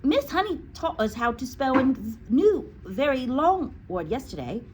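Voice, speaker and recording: A bright little schoolboy from a storybook, acted out by a woman reading aloud. He pipes up eagerly and bravely in a raised, bouncing voice, with faint noise behind. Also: sits high, sing-song, moderate pace, pitched above the performer's own voice. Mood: eager, cheeky. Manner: piping, eager.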